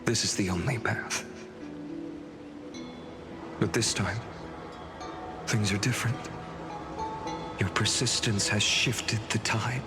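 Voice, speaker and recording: Man acting a slow, gentle, weary male companion. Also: medium pitch, lightly acted. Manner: slow, measured, soft-spoken with sighs. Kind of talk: dramatic video game voice acting. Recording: studio voice over loud music.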